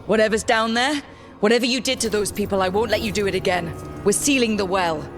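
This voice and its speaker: An actress playing a wry, defiant adventurer heroine in a video game, with a dry, determined manner. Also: female voice, mid-range voice, fairly quick, natural voice. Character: wry, defiant young female adventurer hero. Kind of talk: dramatic video game cutscene acting. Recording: clear music behind the voice.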